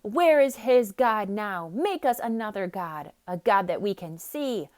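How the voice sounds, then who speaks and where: A woman's voice: medium pitch, expressive, measured pace, lightly acted. A woman voicing panicked, quarrelsome, thirsty desert wanderers in a wailing, complaining way for a children's storybook. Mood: angry, impatient. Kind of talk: theatrical children's storybook read-aloud.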